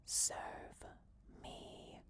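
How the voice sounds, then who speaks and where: A woman's voice: drawn-out words, sharp tone. A woman voicing a regal, commanding vampire goddess in a solemn whispered fantasy ASMR roleplay. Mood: commanding, reassuring. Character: ancient vampire goddess, commanding, softly menacing, bestowing destiny.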